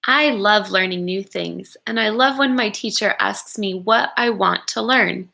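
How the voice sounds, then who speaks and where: Slow, bright-voiced woman reading aloud to children. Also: mid-range voice, creaky. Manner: expressive, encouraging, pausing to wonder aloud. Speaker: educator reading a picture book aloud to children. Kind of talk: children's read-aloud with asides to listeners.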